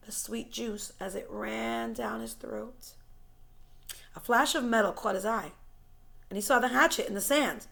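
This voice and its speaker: A woman reads a story aloud with plenty of expression. Her voice is on the high side and bright, with a noticeable creak in it. She leans into key words and softens others for drama. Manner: dramatic, emphatic, dropping to hushed tones. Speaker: teacher reading a book aloud.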